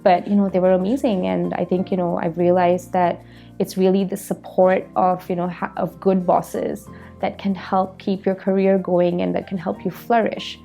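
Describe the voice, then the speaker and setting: Woman, 30s, from Malaysia, founder in a documentary interview; mellow, gravelly voice, thoughtful and filler-heavy. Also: on the low side, little pitch movement, moderate pace, soft background music. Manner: reflective, frequent "you know" fillers. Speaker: company founder.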